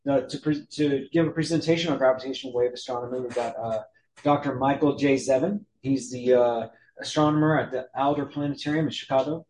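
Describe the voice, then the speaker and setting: A man introduces a guest speaker at a club meeting. He speaks haltingly, with many uhs and restarts. The room gives his voice a noticeable echo. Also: mid-range voice, steady tone, a little mellow, a little hiss or hum.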